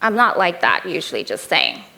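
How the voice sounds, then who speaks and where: A woman's voice: lowish voice, wildly swooping pitch, normal speed, vocal fry, pushed and bright, slight background noise. A woman in her thirties with an Indian accent, giving a keynote talk as a personal story told in a candid, lightly humorous way. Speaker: keynote speaker.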